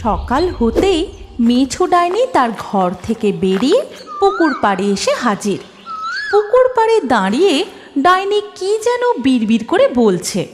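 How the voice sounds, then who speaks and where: A woman's voice: high-pitched, very animated, normal speed, over music. A woman narrating a scripted cartoon story as the storyteller.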